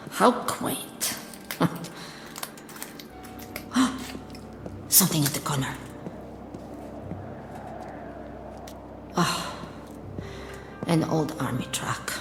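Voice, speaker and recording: In a reverberant space with music underneath, a hungry, scornful woman soldier. She is the lone survivor of a war, musing to herself in fragments as in a radio play, at a slow pace. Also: deep voice. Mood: wary, scornful. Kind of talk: dramatic audio drama monologue.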